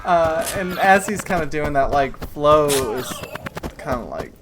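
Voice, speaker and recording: Woman game master narrating a tabletop session, casual and rambling with steady fillers, faint noise behind. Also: low-pitched, measured pace. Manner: loose, full of "like" and "kinda" fillers.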